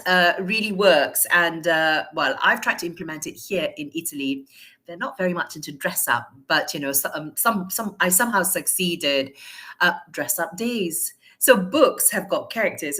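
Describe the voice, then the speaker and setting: A woman from Malaysia giving a chatty, lively webinar talk to teachers, asking and answering her own questions. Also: fairly low pitch. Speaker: teacher trainer.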